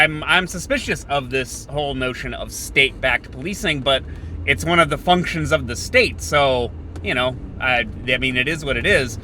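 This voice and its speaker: A man hosting a podcast, thinking out loud in a sardonic, opinionated political monologue that moves between halting pauses and bursts. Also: mid-range voice, slight background noise. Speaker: podcast host.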